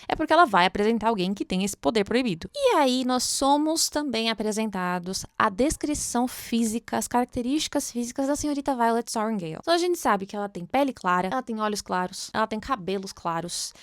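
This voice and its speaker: A Brazilian woman with a fairly high voice hosts a casual book discussion. She explains her points in an expressive, conversational way and often poses rhetorical questions. The sound is very dry, with almost no room around it. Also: brisk, few pauses, no room sound. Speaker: book commentary host. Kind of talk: casual read-along commentary with fan theories.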